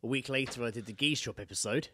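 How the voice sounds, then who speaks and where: A man's voice: mid-range voice, fast, sharp tone, no room sound. A British man hosting a podcast in casual, chatty, sweary banter. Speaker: podcast host.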